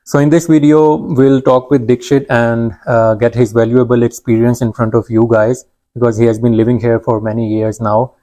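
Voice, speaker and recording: A man's voice: medium pitch, little pitch movement, moderate pace, dark tone. A man hosting an interview, polite, with frequent murmured backchannels. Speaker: host.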